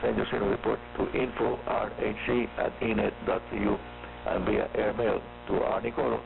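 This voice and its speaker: A man with a Cuban accent hosting a scripted amateur radio program, explaining in a brisk, clear way and spelling things out phonetically. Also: on the low side, flat delivery. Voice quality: gravelly. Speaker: radio show host. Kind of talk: scripted radio talk for hobbyists. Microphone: studio microphone heard over a radio receiver. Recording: tinny shortwave radio reception with mains hum and static. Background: hum and static.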